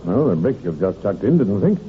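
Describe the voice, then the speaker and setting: A man acting a steady, persistent police detective in a 1950s radio drama, clipped and musing. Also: sits low, a little fast, narrow, tinny audio. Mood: musing, curious. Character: steady, doggedly persistent male police detective leading a dig. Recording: old, noisy, band-limited radio recording.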